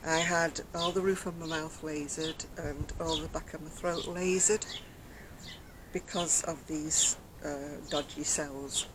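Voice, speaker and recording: Older woman vlogger, very soft quiet voice, chatty and rambling, outdoors with loud background noise. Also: low voice, steady tone, takes it slowly. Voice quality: very soft, quiet voice after throat surgery. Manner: chatty, rambling, self-mocking, laughs softly. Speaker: craft vlogger. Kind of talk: casual chat to camera. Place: outdoors on a decking by a brick wall. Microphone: camera in front at close range.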